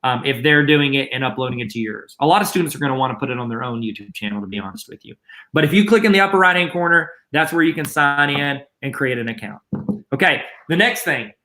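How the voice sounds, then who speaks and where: A man's voice: mid-range voice, lively intonation, fast, bright, forceful tone. A man with a Southern accent giving a casual, joking how-to session for teachers. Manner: joking, chatty, self-deprecating asides. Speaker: guest presenter teaching teachers. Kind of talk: casual instructional webinar.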